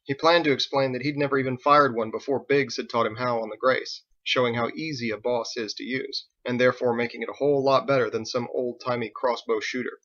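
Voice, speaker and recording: A man's voice: mid-range voice, fairly even intonation, brisk, few pauses, fairly bright tone, lo-fi sound. A man reading scripted audiobook narration as the story's narrator. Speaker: author narrating his own story.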